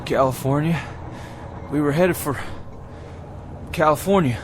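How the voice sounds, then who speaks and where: An actor playing a bewildered, desperate pioneer settler lost in time, stammering in old-fashioned rustic phrases in a television drama. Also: male voice, fairly high pitch, measured pace, natural voice. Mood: wary wonder. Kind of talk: mid-century television drama acting. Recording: noisy old television soundtrack.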